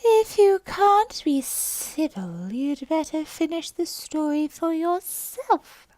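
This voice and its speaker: A woman voicing a sleepy, sulky little male dormouse in a storybook reading, with a slow, drowsy drawl. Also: high voice, lively intonation, darkish tone, no echo, pitched above the performer's own voice. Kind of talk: storybook character voice.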